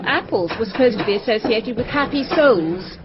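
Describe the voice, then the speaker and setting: A woman's voice: on the high side, animated, moderate pace, noisy background, lightly acted. A woman playing a knowledgeable schoolteacher with an English accent in a radio drama. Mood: instructive. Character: knowledgeable schoolteacher explaining eerie folklore. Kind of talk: British radio drama acting. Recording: dull radio recording with busy chatter.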